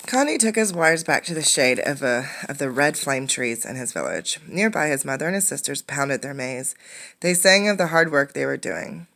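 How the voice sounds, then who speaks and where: A woman reading a children's storybook aloud with expressive narration, pausing to put simple questions to her listeners. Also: sits low, vocal fry. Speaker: teacher reading a picture book aloud.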